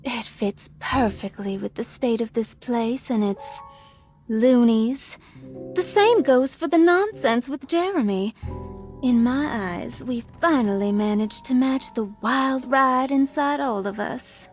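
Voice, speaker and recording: A woman's voice: a little high, slow, faint music behind. An actress playing a wry, dreamy woman resident of a gothic madhouse, speaking in a languid, musing way in a game cutscene. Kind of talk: video game cutscene acting.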